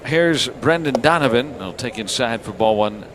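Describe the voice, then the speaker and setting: A man calls a baseball game live on the radio as the play-by-play announcer. His voice sits at a middle pitch, with clear ballpark ambience behind it. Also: expressive, normal speed, a bit bright, a little hiss or hum. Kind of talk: live radio sports commentary.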